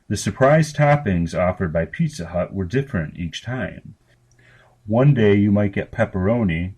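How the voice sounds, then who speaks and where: A man reading fiction aloud as scripted narration, in a matter-of-fact, deadpan manner. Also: low-pitched, breathy edge. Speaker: story reader.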